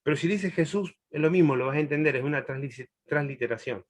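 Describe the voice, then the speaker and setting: A middle-aged man from Chile, raised in Argentina, teaching a Bible class in a chatty, laughing way. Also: a little high. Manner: warm, chatty, laughs often, long pauses. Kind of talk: answering a student in a live class.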